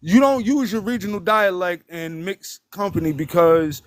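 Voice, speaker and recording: A man's voice: sits high, normal speed, a crowd in the background. A man, a guest on a live stream, talking bluntly and emphatically, swearing and tagging his points with "right?". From Detroit, United States. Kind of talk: casual live-stream conversation.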